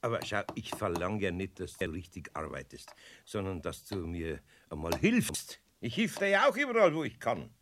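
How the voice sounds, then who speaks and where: A man's voice: low voice, sing-song, normal speed, fairly bright tone. A man playing a kindly, long-suffering older craftsman with a Bavarian accent, grumbling patiently and mildly scolding in a children's radio play. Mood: patient, reproachful. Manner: homely, repetitive, mildly scolding. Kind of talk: 1970s German children's radio play acting. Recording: clearly noisy old radio-play recording.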